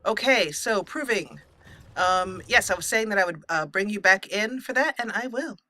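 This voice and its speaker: A woman's voice: medium pitch, expressive, normal speed, sharp tone, slight background noise. A woman hosting a let's play, casually commentating and storytelling in a roleplay style.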